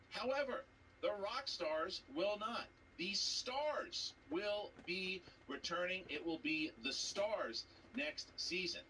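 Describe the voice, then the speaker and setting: A man hosting a trivia league, making energetic, emphatic announcements to fans and repeating phrases for effect. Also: high voice. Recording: distant mic with background noise.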